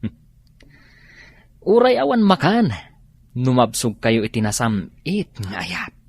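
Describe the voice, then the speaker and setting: An easygoing, teasing buddy in a radio drama. He drawls slowly, with a very lively pitch that leaps around as he exclaims and pries. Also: slightly rough, no room sound, full character voice. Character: nosy, joking, easygoing male buddy. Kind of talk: Ilocano radio drama acting.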